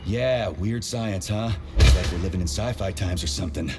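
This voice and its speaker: A man voicing a gruff, irritable, sarcastic Soviet soldier in a video game, blunt and foul-mouthed. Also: deep voice, moderate pace, very noisy, close to an everyday voice. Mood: wry. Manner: sardonic, blunt.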